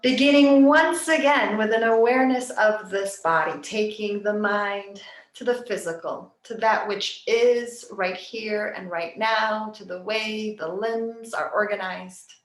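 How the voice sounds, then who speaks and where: A woman yoga nidra teacher leading a guided relaxation meditation in a soft, soothing, unhurried way with long pauses. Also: mid-range voice. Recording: roomy, echoing sound.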